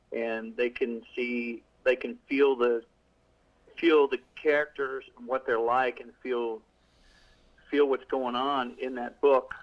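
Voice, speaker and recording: A man's voice: medium pitch, highs cut off. A middle-aged male author talking casually in an interview, rambling with many restarts and fillers. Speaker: author guest.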